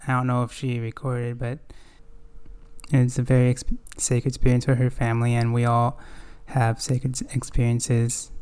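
Male podcast host, smooth and steady voice, earnest, thoughtful, halting at times. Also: medium pitch. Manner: earnest, reflective, halting with fillers. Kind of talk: casual scripture discussion.

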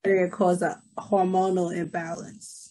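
A woman's voice: on the low side, level tone, moderate pace, mellow, rough recording. A woman co-hosting a reaction show, chatting loosely, talking to viewers and trailing off mid-sentence. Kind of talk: casual chat reacting to a video.

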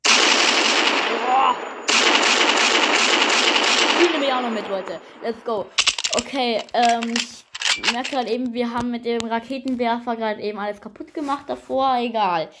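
A woman with a fairly high voice improvises a game roleplay as narrator and player. Her delivery is casual, chatty and halting. She often turns to speak directly to her listeners. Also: fairly even intonation, very noisy. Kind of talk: improvised, casual first-person game storytelling.

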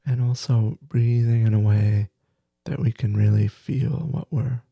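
Husky, slow man guiding meditation. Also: on the low side, fairly even intonation, no room sound, lo-fi sound. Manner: gentle, soothing, with long pauses between short phrases. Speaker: meditation teacher, podcast host. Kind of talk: guided meditation.

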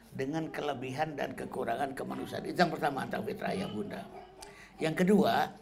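A middle-aged man giving a relaxed, conversational talk on parenting, often tagging sentences with casual fillers. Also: mid-range voice, vocal fry, slight background noise. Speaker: parenting speaker.

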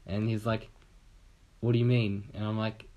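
A man's voice: on the low side, little pitch movement, moderate pace, highs cut off. A young man from Australia telling a long, rambling story in casual chat on a podcast, swearing casually and acting out what people said.

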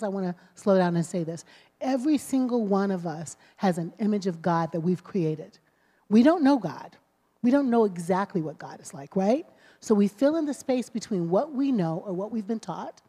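A woman's voice: mid-range voice, mellow. A woman pastor hosting a conversation at a service, speaking with rambling, preacherly emphasis. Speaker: pastor and host. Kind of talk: sermon conversation. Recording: long hall reverb.

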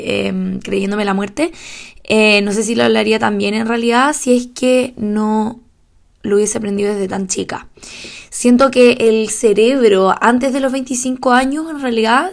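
A woman's voice: mid-range voice, vocal fry. A young woman from Chile with a Chilean Spanish accent, talking casually on her own podcast, chatty with fillers and small laughs. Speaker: podcast host.

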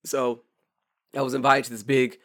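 A young man co-hosting a casual podcast, his voice raspy and hoarse, laughing often as he chats. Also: mid-range voice, little pitch movement, normal speed, fairly bright tone. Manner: laughs often, mock voices when joking. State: hoarse from karaoke. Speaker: podcast co-host. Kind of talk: casual chat.

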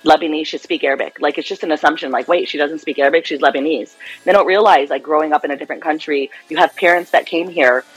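A woman's voice: low voice, fairly even intonation, quick pace, husky, soft background music, low-quality recording. A woman from Los Angeles with an American accent, a clinical psychologist chatting as a radio guest and laughing easily. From Los Angeles, United States. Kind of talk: casual radio interview.

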